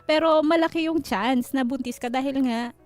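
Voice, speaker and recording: A woman's voice: sits high, moderate pace. A woman hosting a radio call-in advice show, chatting casually in a playful, teasing, giggly way. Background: faint music.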